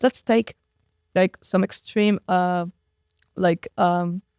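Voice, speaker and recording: The young female guest on an interview show, a teenager with a clean, smooth voice close in a dry room, making an impassioned case. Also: lowish voice, steady tone, slow, darkish tone, dry recording. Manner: outspoken, emphatic rhetorical questions. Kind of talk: conversational interview, impassioned argument.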